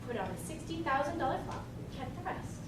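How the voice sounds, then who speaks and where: A timid, highly strung male accountant from a stage comedy acted by a woman, with a piercingly high pitch. The manner is fussy and nervous. The sound is distant and heavily echoing, with clear noise behind it. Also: big pitch swings, quick pace. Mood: precise, musing. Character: timid, neurotic, hysteria-prone male accountant. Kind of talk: amateur stage comedy acting.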